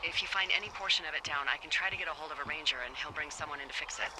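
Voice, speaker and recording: A woman's voice: deep voice, fairly even intonation, very fast. Wry American woman over noisy radio. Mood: businesslike. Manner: dry, teasing, conversational. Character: wry, easygoing adult woman fire lookout supervisor. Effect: walkie-talkie radio. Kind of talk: naturalistic video game dialogue.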